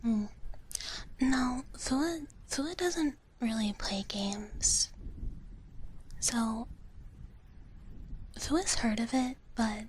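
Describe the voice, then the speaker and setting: A woman speaks in a soft, breathy whisper, slowly and gently, like an ASMR streamer chatting with her viewers. Her voice sits a little high, with a cutesy, repetitive way of talking. A steady noise is clearly audible behind her. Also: rough recording. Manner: gentle, cutesy, repeats phrases softly.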